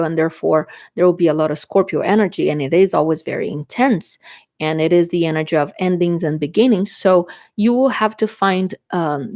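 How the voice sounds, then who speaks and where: A woman's voice: lowish voice, darkish tone. A woman from Brazil with a Brazilian Portuguese accent, whose native language is Portuguese, giving a chatty tarot reading to camera and often checking in with her viewers. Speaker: tarot reader.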